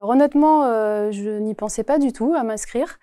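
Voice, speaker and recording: A woman in her forties from the Paris region, answering interview questions in a hesitant way with many pauses. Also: a little high, warm tone, dry recording, clean studio sound. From Paris region, France. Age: 40s. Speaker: postal worker, interview guest.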